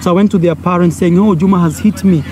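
A man's voice: high-pitched, fast talker. A young man from Kenya with a Kenyan accent, a native Swahili speaker, telling a personal story to camera outdoors and acting out the voices. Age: young adult. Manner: animated, acts out voices and gestures. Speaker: vlogger. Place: outdoors by a brick wall. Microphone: phone or camera held close. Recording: outdoor noise, village sounds.

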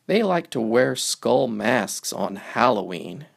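A man narrating a picture book for young children, reading it aloud in simple, deliberate phrases. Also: medium pitch, very animated, gravelly.

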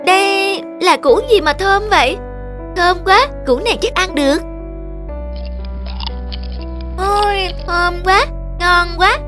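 A woman voicing an earnest poor young farm boy in an animated fairy tale, plaintive and full of exclamations. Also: extremely high pitch, measured pace. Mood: curious, delighted. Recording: music under the voice.

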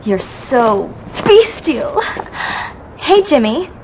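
A coquettish, manipulative greaser girl in a video game. Her high voice swings playfully and slowly as she teases. Faint ambience sits behind her. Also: very animated, darkish tone, a little hiss or hum, heavily acted, rough recording. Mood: teasing.

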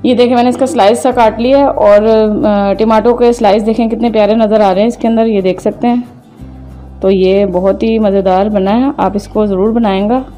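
A woman hosting a home cooking video, calmly explaining each step as she cooks in her kitchen. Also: mid-range voice, moderate pace, faint music behind. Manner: calm, methodical, names each step as she works. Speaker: cooking channel host.

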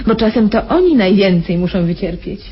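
A woman's voice: mid-range voice, lively intonation, brisk, husky. An actress playing a cold, coy female contract killer who poses as merciful, in a radio crime drama. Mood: cool, self-justifying. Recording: dull radio recording with faint noise.

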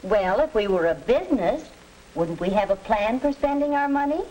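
Woman acting as patient american housewife mom, earnest and measured, old 40s film with hiss. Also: a little high, lively intonation, dark tone, lightly acted. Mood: earnest, organizing. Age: middle-aged. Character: earnest, tired housewife and mother, middle-aged, patient organizer. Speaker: actor in an educational film. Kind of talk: 1940s educational film acting. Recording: hissy, noisy 1940s film soundtrack.

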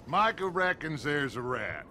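A grand, scheming gang leader. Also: male voice, fairly high pitch, big pitch swings, a little slow, bright, forceful tone, slight background noise, exaggerated voice. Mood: commanding. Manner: persuasive, grand, paternal. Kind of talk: video game cutscene acting.

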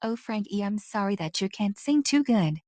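A woman's voice: mid-range voice, animated, normal speed, a little mellow, no echo, lightly acted. A woman playing a sympathetic, plain-spoken female friend in an amateur cartoon parody.

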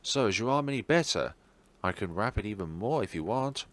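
A man's voice: lowish voice, brisk. A man voicing an optimistic, caring teenage schoolboy in a visual novel, speaking earnestly. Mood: concerned. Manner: earnest, animated. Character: upbeat, caring teenage schoolboy, stubbornly optimistic best friend.

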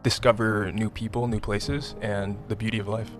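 A man's voice: lowish voice, flat delivery, moderate pace. A man in his twenties with an American accent, talking casually as a radio interview guest. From United States. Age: 20s. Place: small radio studio. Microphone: close studio microphone. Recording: music behind the voice.

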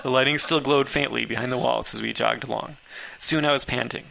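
Bright-voiced man reading a story aloud. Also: mid-range voice, fairly even intonation, brisk, vocal fry, a little hiss or hum, poor audio. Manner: stumbles over words, breaks into laughter mid-reading. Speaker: author reading own story. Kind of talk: amateur story narration.

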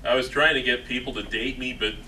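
A man's voice: mid-range voice, fairly even intonation, fairly quick, bright, forceful tone. A man playing a lonely, earnest, self-pitying coffee shop worker in a live comedy table read. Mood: dejected. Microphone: far camera microphone. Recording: distant, very noisy stage recording.

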